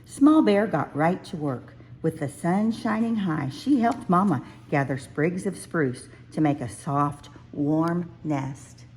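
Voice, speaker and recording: Slow-reading woman storyteller, slightly low. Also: animated, a little mellow, a little hiss or hum. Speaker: children's book reader. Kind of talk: scripted storybook narration.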